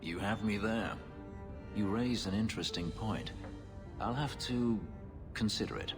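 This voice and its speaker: An actor playing a brooding, guarded elf who escaped slavery, speaking in a grave, terse way for a video game. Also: male voice, on the low side, a little slow, lightly acted. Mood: wry, reserved. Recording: music behind.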